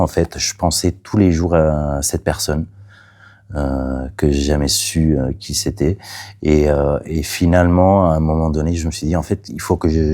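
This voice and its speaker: A man whose first language is Catalan, a playwright talking about his play in an interview, hesitant, with many fillers and restarts, and trailing off softly. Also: very deep voice. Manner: soft-spoken, trailing off.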